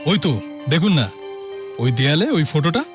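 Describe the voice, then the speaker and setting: An actor playing a proud, genial middle-aged hunter and host in an audio drama, theatrical, knowing and given to chuckling. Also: male voice, fairly high pitch, sing-song, moderate pace, over music. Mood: proud, amused.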